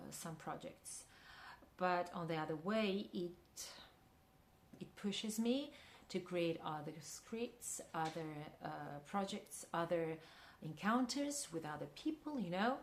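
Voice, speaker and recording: A woman with a Russian accent answers an interview question. She thinks aloud, searching for words with frequent pauses and light laughs between thoughts. Her voice sits fairly low, with faint background noise. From Kyrgyzstan. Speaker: filmmaker and actress.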